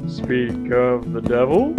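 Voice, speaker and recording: A wry young skeleton guy voiced by a woman with a very low, slow voice. He is casual and dry, with guitar music behind him in a comic cartoon. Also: sing-song, highs cut off, exaggerated voice. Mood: amused. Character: laid-back, wry young skeleton son. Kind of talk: comedic cartoon dub acting.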